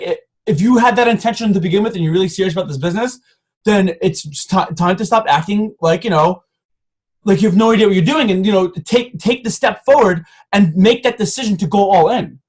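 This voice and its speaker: A man's voice: high voice, breathy edge. A man in his twenties giving an earnest, pushy motivational talk to his coaching team from home, often restarting his sentences. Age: 20s. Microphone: camera.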